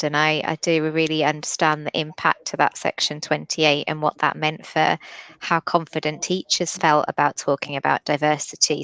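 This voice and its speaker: A woman's voice: deep voice, gravelly, a bit bright, no echo, poor audio. A woman hosting a conversational interview, encouraging and affirming as she responds and asks questions. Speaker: host interviewer from a Pride organisation.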